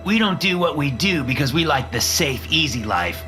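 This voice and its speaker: A man voicing the young characters of a horror story in a raised, lively voice. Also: moderate pace. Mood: tense. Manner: raised pitch for dialogue. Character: young adult characters, men and a woman. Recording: music underneath.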